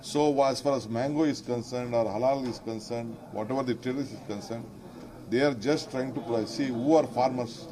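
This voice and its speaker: A man with an Indian accent speaks to the press amid clear background chatter and birdsong. His voice is mid-pitched, and he delivers unscripted remarks in emphatic, broken phrases. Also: moderate pace, low-quality recording.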